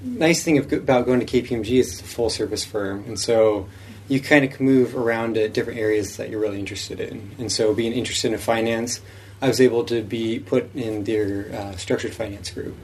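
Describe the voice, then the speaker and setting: A man's voice: lowish voice, fairly even intonation, normal speed, a little mellow, slight background noise. A young man informally describing his career as a podcast guest. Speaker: podcast guest, finance professional. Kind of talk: informal self-introduction.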